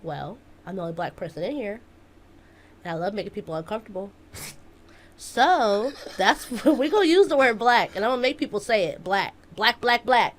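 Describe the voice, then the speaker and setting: A woman in her twenties, a math teacher chatting casually as a guest, telling stories in an animated way. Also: medium pitch, moderate pace, slight background noise. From United States. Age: 20s. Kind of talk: casual interview chat.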